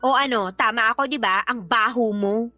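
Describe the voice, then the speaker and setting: Woman acting a high-pitched, sassy Filipina girlfriend. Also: normal speed, bright, forceful tone, no echo, faint music behind. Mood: teasing, disgusted. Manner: sassy, scolding, dismissive. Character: sharp-tongued, irritable young live-in girlfriend and mother. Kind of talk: Filipino radio-style drama acting.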